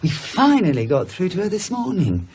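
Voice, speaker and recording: An actress playing a brisk, practical, caring woman with a matter-of-fact manner in a naturalistic horror radio drama. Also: fairly low pitch, wildly swooping pitch, darkish tone, slight background noise, rough recording.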